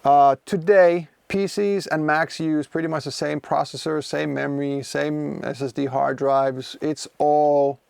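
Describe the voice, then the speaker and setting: A man's voice: fairly high pitch, fairly even intonation, unhurried, vocal fry, hardly any pauses, darkish tone, smooth, no echo. A man in his forties from Finland, speaking English with a Finnish accent as his second language, chatting casually and rambling with many fillers while streaming from his music studio. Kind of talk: casual livestream chat, answering viewers.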